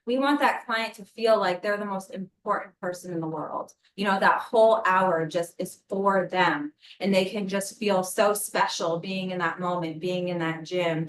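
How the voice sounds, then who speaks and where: A woman's voice: medium pitch, a bit bright, roomy sound, poor audio. A woman from New Hampshire, a personal trainer and gym co-owner, explaining earnestly. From New Hampshire, United States. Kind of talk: casual interview talk.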